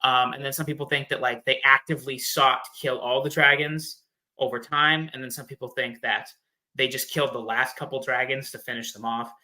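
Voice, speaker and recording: Male guest for casual theory talk: average pitch, strikingly bright and forceful delivery, conversational phrasing. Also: fairly even intonation, brisk. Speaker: guest theorist on a fan discussion show. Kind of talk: casual chat explaining fan theories.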